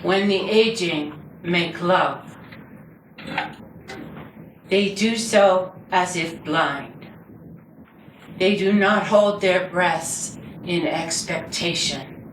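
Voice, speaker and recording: Slow, echoey woman reciting poetry. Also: on the low side. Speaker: poet reading own work. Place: indoor reading before a group. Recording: roomy, distant mic with background noise.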